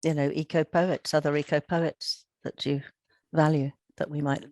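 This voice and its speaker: A woman in her sixties, slightly croaky, asking a chatty question with easy laughter in an online session. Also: deep voice, level tone, normal speed, a little mellow, dry recording. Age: 60s. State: slightly croaky, recovering from illness. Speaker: workshop participant. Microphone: video call.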